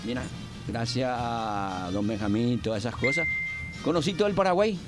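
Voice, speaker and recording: Older guy rambling on air, lots of ums, music playing under. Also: medium pitch. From Argentina. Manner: rambling, halting with many fillers. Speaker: radio guest. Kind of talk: casual radio interview.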